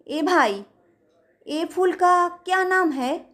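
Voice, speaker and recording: A woman's voice: very high voice, slow pace, fairly bright tone, exaggerated voice. A woman voicing a shaken, urgent husband who is haunted, in an audio ghost story. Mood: inquiring.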